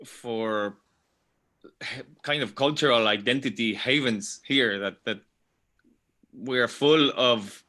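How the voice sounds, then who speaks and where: A middle-aged man from Venezuela, heard over a video call. His voice is bright and clear. He speaks thoughtfully and circles back with repeated words. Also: mid-range voice, slow, pauses often. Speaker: panel guest. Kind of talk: online panel talk.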